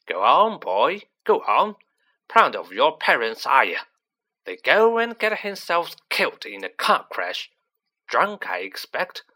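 A man's voice: mid-range voice, huge pitch swings, a little slow, bright, forceful tone, full character voice. A man voicing a boorish, snobbish middle-aged aunt in a storybook, with a blustering, contemptuous delivery. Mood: furious. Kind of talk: storybook character voice.

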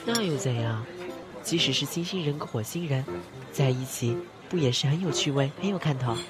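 Cheeky clingy young boy, voiced by a man, teasing tone, loud music behind. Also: mid-range voice, animated, normal speed, lightly acted. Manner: teasing, playful.

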